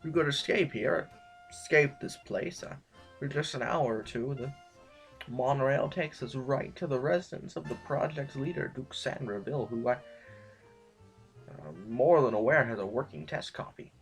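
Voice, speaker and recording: A man's voice: mid-range voice, moderate pace, vocal fry, natural voice, low-quality recording. Man acting anxious, wordy nobleman over music. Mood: nervous, eager. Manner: hesitant, formal, ornate phrasing. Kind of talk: audio drama acting.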